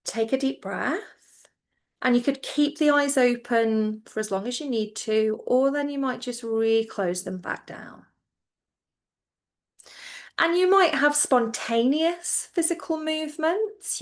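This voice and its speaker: A woman teaching yoga nidra, guiding listeners into relaxation in a gentle, reassuring, informal way. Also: high voice, expressive, slow pace. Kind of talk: guided relaxation instruction.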